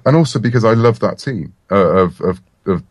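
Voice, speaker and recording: A man's voice: fairly low pitch, lively intonation, fairly quick, no echo. A man chatting casually as an interview guest, with a wry, self-deprecating manner. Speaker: interview guest, comics and audio writer.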